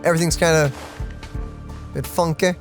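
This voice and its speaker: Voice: mid-range voice, fairly even intonation, brisk, music in the background. A gamer chatting casually as co-commentator on a let's play.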